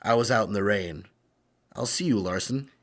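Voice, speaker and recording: A man's voice: fairly low pitch, normal speed, heavy background noise, close to an everyday voice. A man playing a confident masked vigilante in an old-time radio crime drama, clipped, sly and commanding. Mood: stern.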